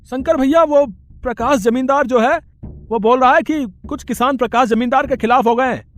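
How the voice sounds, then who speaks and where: A man's voice: extremely high pitch, normal speed. A man playing an anxious, pleading poor farmer and deferential younger brother in a moral story. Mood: urgent. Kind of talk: Hindi moral story voice acting.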